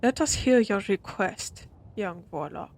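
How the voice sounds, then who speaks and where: A woman's voice: mid-range voice, animated, darkish tone. Woman playing a proud ancient vampire lady. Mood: regal, grave. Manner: formal, stately, measured wording. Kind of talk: dramatic audio roleplay.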